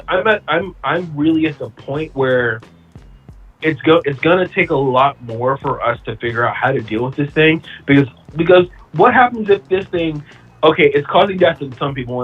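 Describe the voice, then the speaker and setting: A man's voice: on the high side, lively intonation, moderate pace, breathy edge, dull audio. A man in his thirties chatting casually on a podcast, blunt and emphatic, often repeating himself as he builds a point. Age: 30s. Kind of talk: casual chat.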